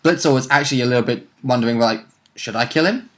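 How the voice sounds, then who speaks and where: A man's voice: mid-range voice, quick pace. A man chatting casually as a player and co-commentator, informal and rambling in his way of talking. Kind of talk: casual chat.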